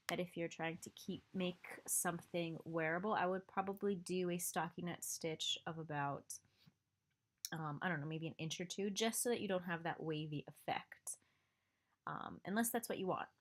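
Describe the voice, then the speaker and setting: A woman's voice: on the low side, moderate pace. A woman with a nasal voice from a cold, giving a casual step-by-step knitting tutorial, counting stitches aloud with many fillers. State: sick and nasal. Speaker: knitting instructor.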